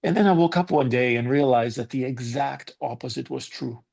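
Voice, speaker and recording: A man's voice: mid-range voice, normal speed, vocal fry, darkish tone. A man in his fifties from Greece with a Greek accent, giving a candid, conversational talk to an online conference audience and inviting debate. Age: 50s. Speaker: conference speaker.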